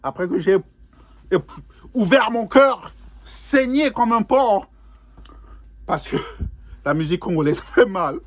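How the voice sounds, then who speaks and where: A man's voice: high-pitched, animated, slow pace, long pauses. A man hosting a music reaction video in a casual, chatty way, repeating his phrases, slipping English words into his talk and laughing often. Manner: laughs often while talking. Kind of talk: casual spoken reaction commentary.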